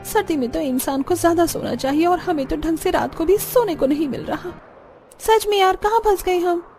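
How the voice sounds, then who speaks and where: A woman's voice: extremely high pitch, normal speed, a little mellow, faint music behind, pitched above the performer's own voice. A woman voicing a young village daughter-in-law in a cartoon, complaining in a dramatic, exasperated way.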